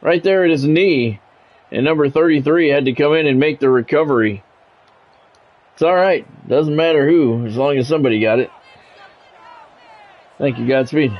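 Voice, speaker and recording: A man's voice: on the high side, muffled recording, a little hiss or hum. A man streaming a sports game, mixing radio-style play-by-play with casual chat.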